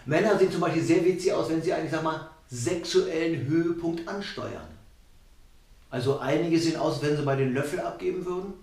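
Male comic, middle-aged, conversational and jokey, a little high in pitch, roomy home-recorded sound. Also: brisk, vocal fry, a little mellow. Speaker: comedian and cabaret artist. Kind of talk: comedy monologue to camera. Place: small rooms of a flat, indoors. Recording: echoey room.

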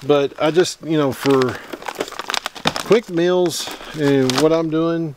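A man, talking casually to camera at a wooded campsite, easygoing and rambling with many pauses and ums. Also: fairly high pitch. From Middle Tennessee. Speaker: outdoors vlogger. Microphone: camera mic at close range. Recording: outdoor recording with clear background noise.

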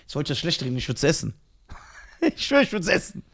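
A man with a fairly high voice and very lively intonation chats as a podcast co-host. His delivery is emphatic and teasing, in a loose comedic style. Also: quick pace, gravelly. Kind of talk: casual comedic banter.

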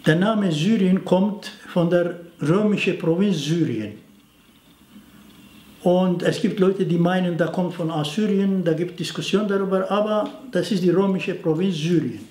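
Older man, halting. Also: sits high, a little mellow, echoey room. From Turkey, near the Syrian border. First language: Aramaic. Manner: halting, frequent fillers, non-native grammar. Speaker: interviewee. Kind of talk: explanatory talk to camera. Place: indoor study in front of bookshelves.

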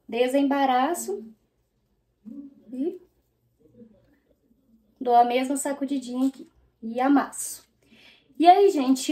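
A woman in her thirties from Brazil talks in a high, chatty voice, often turning to her viewers as she works a product through her hair. She speaks casually and directly into a camera set up in front of her, in a small bedroom. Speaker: beauty vlogger.

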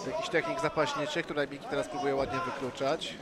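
A man's voice: mid-range voice, little pitch movement, fairly quick. A man giving explanatory live analysis of a cage fight as color commentator, adding technical remarks. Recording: very loud arena crowd behind. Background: crowd.

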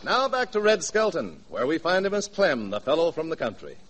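A male radio announcer from the 1940s delivers a scripted pitch with polish and emphasis. His voice sits fairly high and moves through lively, animated swings. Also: brisk, over music, poor audio.

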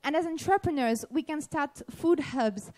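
A high-pitched woman in her thirties, a native French speaker, talks in English from a conference stage on a handheld mic. She often stops and restarts her phrases. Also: lively intonation, moderate pace, darkish tone, poor audio. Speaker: speaker and panelist. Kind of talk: conference talk. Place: large event tent with audience.

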